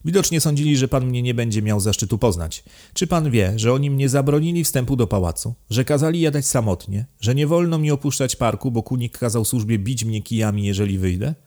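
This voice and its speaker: A man voicing an excitable, contemptuous, deranged aristocrat count in an audiobook, imperious and given to emphatic outbursts. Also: mid-range voice, brisk, hardly any pauses, voice pushed up, close to an everyday voice. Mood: bitter, haughty. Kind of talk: dramatized audiobook reading.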